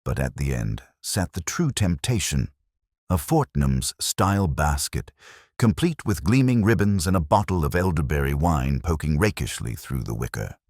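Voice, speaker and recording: A very low-voiced man tells a mystery story with dry, literary wit. His delivery is measured yet swings widely in pitch, with a husky edge and a close, dry sound. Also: very animated, darkish tone, dry recording. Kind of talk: scripted dramatic story narration.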